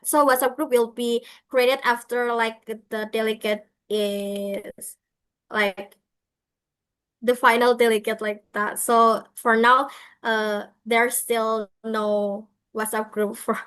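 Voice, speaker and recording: Slow clear-voiced lady explaining stuff on an online q&a, kinda even tone, halting non-native english. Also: a little high. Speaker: organizer answering questions. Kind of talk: informal explanation in an online session.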